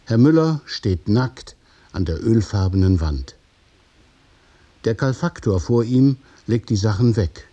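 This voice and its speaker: A man narrating a scripted radio drama in the present tense, with deliberate, measured phrasing. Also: fairly low pitch, lively intonation, mellow.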